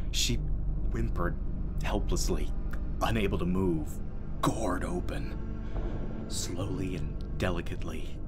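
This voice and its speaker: Haunted soldier played by a male actor, low register, halting intense audio-drama monologue, breathy at times, loud music underneath. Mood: grieving, subdued. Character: battle-scarred, haunted soldier recounting horrors.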